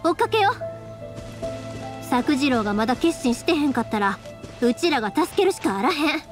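A spirited young woman from an anime game, high-voiced and swooping, speaking in a Kansai accent. She is caring and big-sisterly, with music playing faintly behind her. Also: very animated, moderate pace. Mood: determined.

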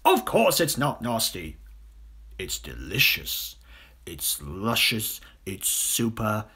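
Storybook reader doing a massive greedy croc, sly n gloating, drags out every word, kinda husky. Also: mid-range voice, wildly swooping pitch, sharp tone, heavily acted. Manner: slow, drawn-out, relishing words. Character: huge greedy male crocodile, sly and gloating. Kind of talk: theatrical children's storybook character voice.

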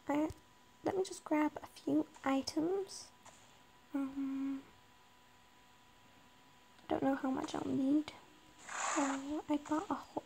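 A high-voiced woman with a raspy, gravelly edge narrates her game slowly and hesitantly, filling gaps with little pauses. She talks to herself as she plays. The recording carries a clear layer of background noise. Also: darkish tone. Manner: slow, hesitant, lots of ums, talks to herself while building. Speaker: Minecraft roleplay player. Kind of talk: casual gameplay narration. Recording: noisy background.

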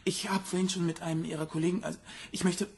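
A woman's voice: on the low side, level tone, fast talker, darkish tone, breathy edge. An actress playing a worried middle-aged mother in a crime radio drama, hesitant, insistent and often repeating herself. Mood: anxious, insistent. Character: worried mother searching for her missing banker partner.

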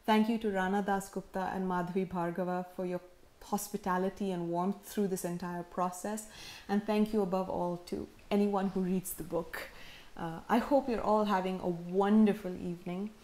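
A woman in her thirties, heavily pregnant, delivering a sincere, measured acceptance speech with gentle humor, recorded at home in her living room. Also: mid-range voice, fairly even intonation. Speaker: prize winner giving acceptance speech. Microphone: camera in front, seated framing.

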